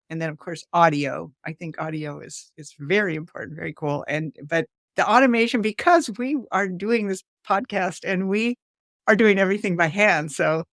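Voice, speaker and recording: A woman's voice: on the low side, no room sound, studio quality. A woman co-hosting in a casual, conversational style. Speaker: co-host. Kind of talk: casual chat.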